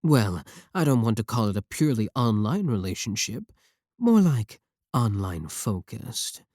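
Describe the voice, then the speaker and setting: A man's voice: on the low side, big pitch swings, a little slow, a little mellow, no echo, clean studio sound. A man playing a clingy, possessive yandere VTuber, pleading defensively and intimately with a single viewer in an ASMR roleplay. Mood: obsessive, coaxing.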